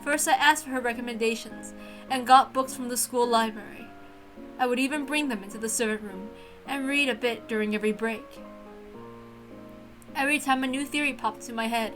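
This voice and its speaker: A woman voicing an earnest, soft-spoken young servant recounting memories in a visual novel read-aloud, with music behind. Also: on the high side, close to an everyday voice, poor audio.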